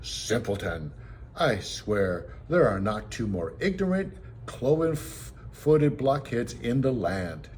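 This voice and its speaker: A man's voice: medium pitch, very animated, slow, darkish tone, slight background noise. A man voicing a clever boy who poses as a haughty, impatient prince in a storybook, princely and scornful.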